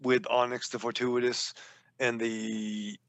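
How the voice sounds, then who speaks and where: A man's voice: mid-range voice, level tone, slow pace, fairly bright tone, dry recording. A man with a New England accent, co-hosting a podcast in rambling, profane, joking banter. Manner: rambling, joking, swears a lot. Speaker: podcast co-host.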